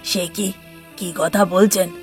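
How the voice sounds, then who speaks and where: A woman voicing a concerned middle-aged father-in-law in a cartoon, steady and reassuring. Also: medium pitch, slow pace, vocal fry, soft background music, pitched below the performer's own voice.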